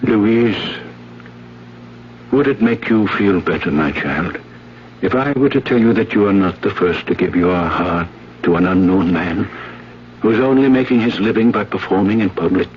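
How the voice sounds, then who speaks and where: A man playing a gentle, bewildered priest in a 1970s radio drama, patient, hesitant and questioning. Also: low-pitched, normal speed, lightly acted. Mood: gentle, consoling. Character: gentle, bewildered fatherly male priest hearing a confession. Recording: dull, noisy 1970s radio broadcast.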